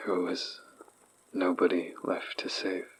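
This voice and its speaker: An actor playing a haunted man recalling childhood horrors in a horror audio drama, with a husky voice. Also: sits low, completely flat delivery, slow pace, a little hiss or hum, rough recording. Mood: haunted, weary. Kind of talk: dramatic first-person horror narration.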